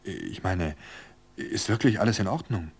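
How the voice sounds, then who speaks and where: A man voicing a cheerful, flighty society woman in an audiobook, speaking brightly and breezily. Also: low-pitched, expressive, unhurried, vocal fry, slightly rough, exaggerated voice. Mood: breezy cheerful. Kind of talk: audiobook character voice.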